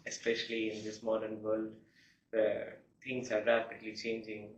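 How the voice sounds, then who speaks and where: A young man from India, a guest telling his personal story in a measured, reflective way. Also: fairly low pitch, monotone, a little hiss or hum. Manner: measured, reflective, with soft laughs. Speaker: guest, law student. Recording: echoey, distant, muffled.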